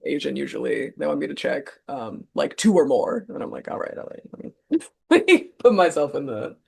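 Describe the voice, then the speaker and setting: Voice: mid-range voice, sing-song, a little fast, vocal fry, darkish tone, breathy edge. A panelist in a casual chat, wry and self-deprecating, laughing often.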